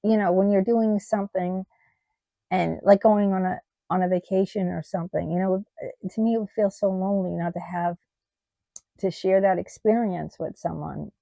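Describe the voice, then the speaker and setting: A middle-aged woman from the United States talking in a personal vlog, halting with many ums and restarts, her voice tired and starting to go. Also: mid-range voice, steady tone, vocal fry, warm tone, dry recording, dull audio. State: losing her voice. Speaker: vlogger.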